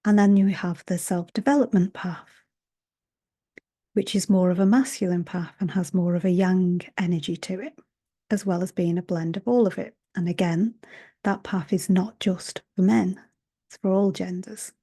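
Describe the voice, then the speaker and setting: A woman, a hypnotherapist and healer, giving a gentle spiritual talk to camera through an earbud mic, slightly raspy from a sore throat and often half-whispering. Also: fairly low pitch, fairly even intonation, normal speed.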